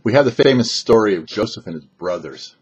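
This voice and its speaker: A man, a rabbi hosting a short religious commentary, telling and explaining scripture with emphatic, halting phrasing and pauses. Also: fairly low pitch, animated, husky. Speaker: rabbi and host of a weekly Torah talk show. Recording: dull, lo-fi sound.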